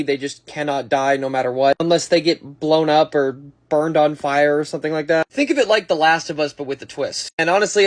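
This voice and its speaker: A man presenting a list in a casual, conversational way, with informal asides as an online video host. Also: fairly high pitch. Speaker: YouTube presenter.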